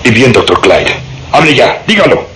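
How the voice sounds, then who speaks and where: Un actor interpretando a un inspector de policía insistente y triunfal, de hablar declamatorio, en una radionovela. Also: male voice, mid-range voice, sing-song, normal speed, background noise, lightly acted. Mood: urgent, insistent. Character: insistent, triumphant male police inspector, sure of himself. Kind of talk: radio drama acting.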